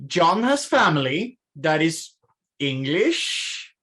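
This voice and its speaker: A woman's voice: deep voice, sing-song, slow pace, a bit bright. A woman playing a tabletop roleplaying game, bantering in character and firing off confused questions. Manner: bewildered, repeating questions.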